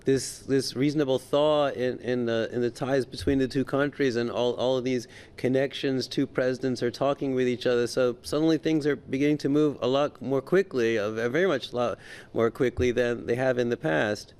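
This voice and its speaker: A man's voice: medium pitch, fairly even intonation, moderate pace, a little mellow, smooth. A man giving unscripted political commentary as an interview guest, stammering and often repeating his words as he goes.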